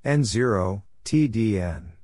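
Man, low voice, very slow delivery, measured scripted read-out, practice narrator. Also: lively intonation, noisy background. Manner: measured, spelling out call signs piece by piece. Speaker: Morse code practice narrator. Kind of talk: scripted read-out of radio exchanges.

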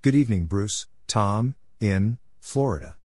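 A man's voice: lowish voice, animated, unhurried, darkish tone, a little hiss or hum. A man announcing Morse code practice, reading letters, numbers and short phrases in clipped, separated words.

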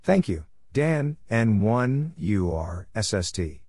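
Slow, low-pitched man reading call signs. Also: big pitch swings, dark tone, no echo. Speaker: Morse code practice narrator.

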